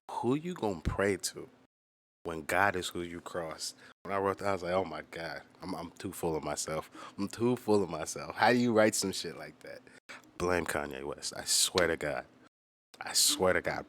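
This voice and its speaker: Deep-voiced man casually breaking down his song. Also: fairly bright tone. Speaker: musician and host of a commentary channel. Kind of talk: casual, profane commentary on own song.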